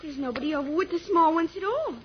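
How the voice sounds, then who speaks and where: A very high, lively girlish voice, fretful and full of questions. It is classic radio serial acting, heard through a dull old recording. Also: quick pace, slight background noise. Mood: worried, questioning. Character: anxious, questioning young girl in a space adventure.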